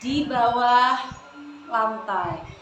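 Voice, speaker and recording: Slow, expressive woman storyteller. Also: on the high side, soft background music. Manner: addresses listeners as friends, expressive. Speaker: storyteller for children. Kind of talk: children's storytelling. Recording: echoey room with clear noise.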